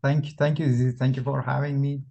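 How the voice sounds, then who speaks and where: A man's voice: medium pitch, little pitch movement, fast, creaky, warm tone. A man from India introducing himself as a graduate student on an online panel, polite and thanking the hosts repeatedly. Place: video call.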